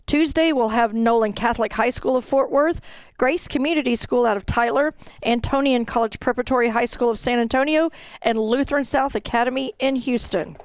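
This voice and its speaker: Higher-pitched woman presenting event details. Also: brisk, no room sound. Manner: matter-of-fact, orderly listing. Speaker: fine arts director giving event details. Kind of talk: informational webinar briefing.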